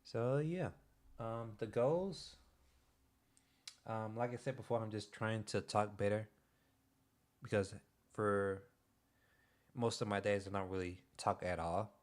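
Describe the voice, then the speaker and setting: A young man streaming, chatting casually in a soft-spoken, hesitant way with many pauses and restarts. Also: on the low side. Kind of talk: casual livestream chat.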